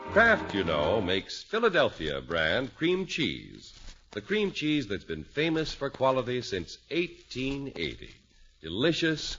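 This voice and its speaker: A man's voice: medium pitch, sharp tone. A man working as a 1950s radio announcer, with a polished, emphatic, promotional delivery. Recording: muffled 1950s radio recording.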